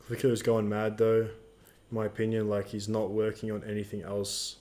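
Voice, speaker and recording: Laid-back young man with a lowish voice. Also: monotone, moderate pace, mellow. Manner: laid-back, hedging, slangy. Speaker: co-host of a live Q&A stream. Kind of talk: casual live-stream chat.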